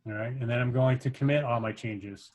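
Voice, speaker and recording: A man instructing a workshop, explaining things step by step in an informal, halting way as he shares his screen. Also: mid-range voice, steady tone, darkish tone. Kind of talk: casual screen-share coding tutorial.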